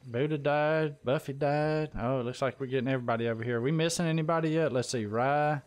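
A man's voice: mid-range voice, quick pace. Folksy man hosting a livestream. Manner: folksy, says y'all. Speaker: livestream host. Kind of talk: casual chat while gaming live. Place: voice chat during an online game stream.

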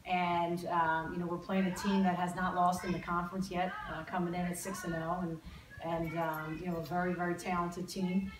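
Halting middle-aged woman coach, low and roomy. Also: flat delivery, background noise. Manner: halting, many fillers and repeated words. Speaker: head coach. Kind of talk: postgame press conference. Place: press room in an athletics building. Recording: roomy, distant press-room audio.

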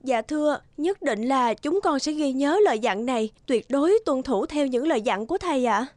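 A woman's voice: high-pitched, animated, normal speed, a little mellow, dry recording. A woman voicing a weak, apologetic pregnant village wife sick in bed in a cartoon, plaintive and deferential. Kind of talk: Vietnamese cartoon voice acting.

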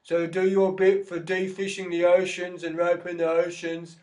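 A man's voice: completely flat delivery, darkish tone, lightly acted, low-quality recording. Brief: dim, heavyset male fisherman, drawling and dopey, mocked by a man. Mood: mocking. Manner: slow, drawling, high mocking voice.

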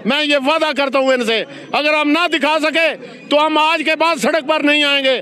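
Middle-aged union guy, really high voice, fired up at a street protest. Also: steady tone, normal speed, sharp tone. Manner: impassioned, defiant. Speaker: teachers' union leader. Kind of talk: protest interview. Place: outdoor protest on a city street. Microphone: reporter's handheld mic.

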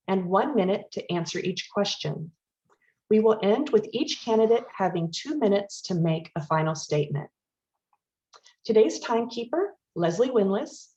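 A woman's voice: mid-range voice, a little mellow. Formal woman moderator, dull lo-fi sound. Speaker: forum moderator.

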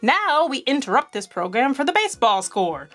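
A woman's voice: sits high, big pitch swings, normal speed, fairly bright tone, faint music behind, exaggerated voice. A woman voicing a cheerful, nonsensical talking radio in a storybook, with showy announcer patter. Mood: showy, chirpy.

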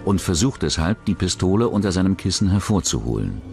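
Deep voiced guy narrating true crime doc, lively intonation, music behind. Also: fairly quick, creaky. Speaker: documentary narrator. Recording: dramatic music bed under the voice. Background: background music.

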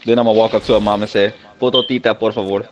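A man's voice: lowish voice, level tone, racing, dull audio, noisy background. A man chatting casually and jokingly with his friends over voice chat.

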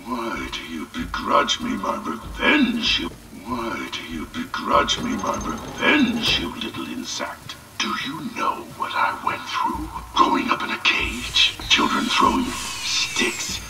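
A man's voice: on the low side, wildly swooping pitch, slow, over music, heavily acted, poor audio. A man playing a vengeful, monstrous carnival freak villain in a video game, theatrical, menacing and snarling. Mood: bitter rage.

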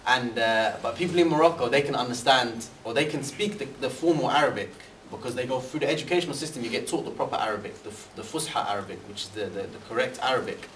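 Fast-talking young man, roomy. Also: medium pitch. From Britain. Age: young adult. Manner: rambling with many fillers and restarts, animated hand gestures. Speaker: guest speaker, university student. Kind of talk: seated talk answering questions. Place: large room with a banner, indoors. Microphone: far camera. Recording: echoey room from far off, faint hiss.

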